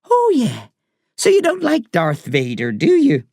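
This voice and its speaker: A reader voicing a blunt but kind woman doctor with an Irish accent, earthy and warm in her talk. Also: medium pitch, huge pitch swings, unhurried, vocal fry, no room sound, studio quality, voice pushed up, exaggerated voice. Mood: wry. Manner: salty, earthy talk said with warmth. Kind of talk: audiobook character reading.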